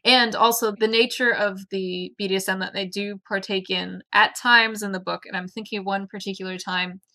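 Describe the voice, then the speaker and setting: Bright-voiced woman co-hosting a podcast. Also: medium pitch, steady tone, normal speed. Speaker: podcast co-host. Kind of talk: casual book discussion.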